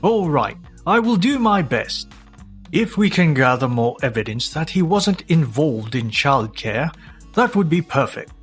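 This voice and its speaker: A man's voice: fairly high pitch, very animated, unhurried, slightly rough, soft background music, full character voice. Man acting composed, formal lawyer in manga drama. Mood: reassuring. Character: composed, professional divorce lawyer confronting a client's opponent.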